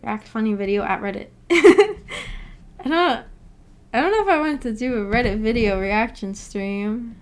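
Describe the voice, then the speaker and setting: A woman's voice: a little high, moderate pace. A woman hosting a casual livestream, reading out and answering viewer comments in a giggly, hesitant, self-effacing way. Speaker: livestream host.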